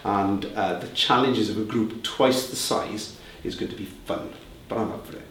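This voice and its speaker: A man with a Welsh accent talks through interview answers, pausing on fillers and restarting his sentences. His voice sits on the low side. The mic is set back, so the sound is roomy, with faint background ambience. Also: fairly bright tone, recorded from a distance, slight background noise. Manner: conversational, with frequent fillers and restarts. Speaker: head of a reseller group.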